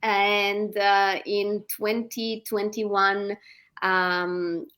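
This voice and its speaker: A woman in her thirties from Romania talks as a guest in a live online interview. She speaks earnestly, at a middle pitch, and her sentences often stop on ums and restarts. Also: little pitch movement, very slow, a bit bright, smooth.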